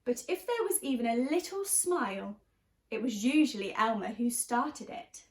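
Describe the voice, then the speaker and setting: A woman reading a children's story aloud like a teacher, expressively and deliberately, stressing key words. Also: fairly high pitch, very animated. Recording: roomy, echoey sound.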